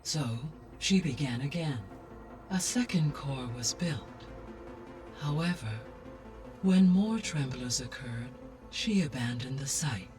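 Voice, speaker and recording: A man voicing a calm machine intelligence in a video game, with measured, formal and precise speech. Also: mid-range voice, far from the mic, faint music behind. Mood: calm, informative. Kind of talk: scripted video game dialogue.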